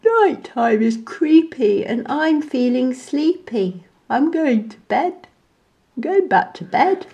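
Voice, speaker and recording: An excitable young storybook monkey, a boy who is easily startled, acted out by a woman reader. The voice jumps high and swings widely, with exclaiming, deliberate phrases. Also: lo-fi sound, heavily acted. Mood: sleepy, uneasy.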